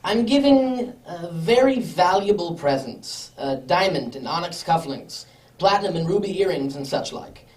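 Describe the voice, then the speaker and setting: A woman plays a courteous, moneyed lord in a radio drama, in a lowish voice. The delivery is formal and earnest with small hesitations, recorded in an echoey room away from the mic. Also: lively intonation, moderate pace, a little mellow, recorded from a distance, lightly acted. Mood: earnest, worried. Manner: polite, formal, slightly hesitant. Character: well-off, courteous English lord, earnest client.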